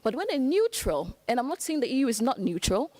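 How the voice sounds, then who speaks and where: Higher-pitched young African woman on a panel. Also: very animated, brisk, mellow, no room sound. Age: young adult. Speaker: youth panelist. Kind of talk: panel discussion remarks.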